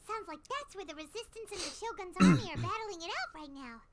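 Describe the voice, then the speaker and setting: Eager childlike fairy sidekick for a game: very high, swooping delivery over loud music. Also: female voice, huge pitch swings, a little fast, exaggerated voice. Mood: curious, planning. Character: small floating fairy companion, childlike, chirpy and eager. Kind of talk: video game character acting.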